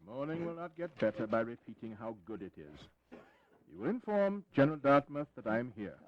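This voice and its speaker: An actor playing a haughty, imperious British lord and government minister in a historical audio play, pompous and curt. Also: male voice, mid-range voice, big pitch swings, a little fast, a crowd in the background, lightly acted. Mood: curt, irritated. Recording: slightly dull 1980s audio recording.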